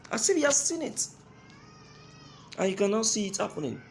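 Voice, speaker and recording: A man's voice: high voice, big pitch swings, normal speed. A man with a Nigerian accent giving opinionated political commentary to his listeners, emphatic and repeating phrases for stress. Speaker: host of a political news commentary show. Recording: clearly audible background noise.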